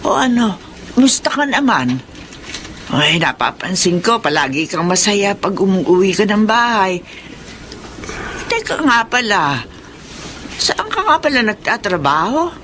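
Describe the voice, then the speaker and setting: A woman's voice: mid-range voice, very animated, a little slow, pauses often, fairly bright tone, slight background noise. A woman with a Filipino accent playing a fretful, caring older mother of grown sons in a radio drama. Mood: concerned, motherly. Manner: pleading, motherly, concerned.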